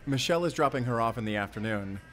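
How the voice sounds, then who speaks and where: A man's voice: mid-range voice, animated, fast talker, a bit bright, close to an everyday voice. A man playing a friendly, playful young father in an intimate audio roleplay, chatting with bashful chuckles. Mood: cheerful, playful. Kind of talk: intimate audio roleplay acting, addressing the listener.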